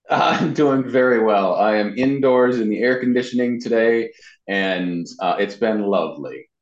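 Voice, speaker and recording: A man's voice: fairly low pitch, measured pace. A man speaking conversationally as an interview guest, explaining social media marketing practice. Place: indoors. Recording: muffled, band-limited, roomy echo.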